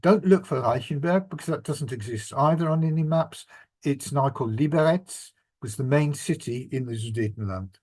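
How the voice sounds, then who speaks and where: An older man giving an illustrated family history talk as the presenter and author, speaking in a measured, reflective way with occasional fillers. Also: medium pitch, mellow.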